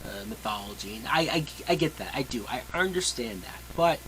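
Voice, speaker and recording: A man's voice: mid-range voice, lively intonation, a little fast, a bit bright, a little hiss or hum, rough recording. A man reviewing a movie in a casual, opinionated way, with emphatic, blunt and sweary delivery. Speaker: film reviewer.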